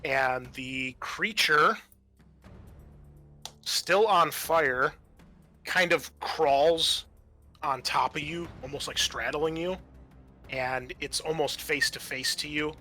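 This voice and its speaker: A man's voice: a little high, slow pace, long pauses, pushed and bright, soft background music. A man narrating a tabletop horror game as game master, moving between vivid storytelling and casual rules talk.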